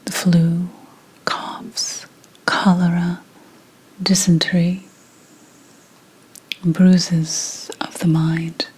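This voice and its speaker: A woman playing a mystical, brooding 17th century witch healer in a breathy, whispered ASMR roleplay, telling things solemnly with deliberate pauses. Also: on the low side, level tone, darkish tone, heavily acted, rough recording. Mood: reverent, storytelling.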